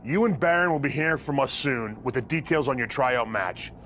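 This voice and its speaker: An actor playing a blunt, businesslike veteran wrestling scout in a video game, speaking in a measured, matter-of-fact way. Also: male voice, medium pitch, lively intonation, fairly bright tone, slight background noise, lightly acted. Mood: blunt, firm. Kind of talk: video game cutscene acting.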